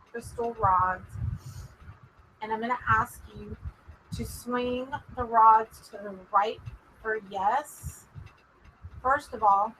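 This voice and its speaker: Slow-talking Texan woman, bright voice. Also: a little high, slight background noise, low-quality recording. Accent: Texas. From Texas, USA. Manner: chatty and rambling, drawling, addresses viewers as "y'all". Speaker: psychic medium livestream host. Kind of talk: casual livestream chat with viewers.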